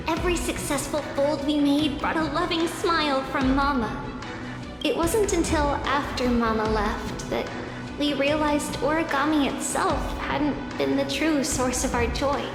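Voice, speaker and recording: A woman voicing a gentle, wistful young girl who reads a letter aloud tenderly in a game. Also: high-pitched. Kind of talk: scripted game narration. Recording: echoey hall reverb under music. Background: background music.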